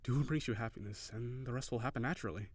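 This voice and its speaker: A man playing a cheery, shy, flirty kitsune barista in an intimate audio roleplay, speaking hesitantly and giggly, with gentle encouragement. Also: medium pitch, racing, no room sound, natural voice. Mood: earnest, encouraging.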